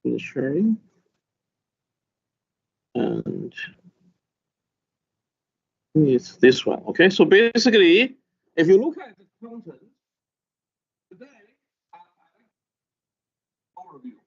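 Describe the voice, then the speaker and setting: A middle-aged man lecturing a class, with a halting manner and constant "okay?" checks. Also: high voice, very animated, gravelly, breathy edge. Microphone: video call. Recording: dull lo-fi video call audio.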